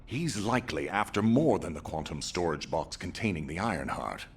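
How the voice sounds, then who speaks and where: An actor playing a grave, authoritative male military commander in a game, formal and measured in his orders. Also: low voice, very animated, slightly rough, full character voice. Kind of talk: dramatic game cutscene acting.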